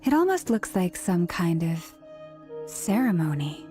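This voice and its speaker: An actress voicing a steady, supportive young adventurer in a video game, plain-spoken and direct. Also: female voice, fairly low pitch, unhurried, faint music behind. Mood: calm, supportive.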